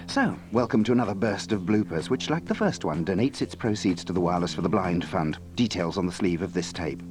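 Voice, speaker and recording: A man's voice: low voice, animated, fairly quick, music in the background, low-quality recording. A man hosting a compilation tape with scripted narration and dry, wry asides between clips. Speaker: host and compiler of a blooper tape.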